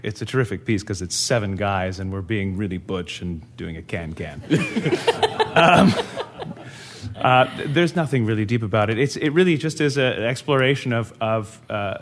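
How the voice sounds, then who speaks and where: A male opera singer talking animatedly in a panel discussion, laughing and restarting sentences. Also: mid-range voice.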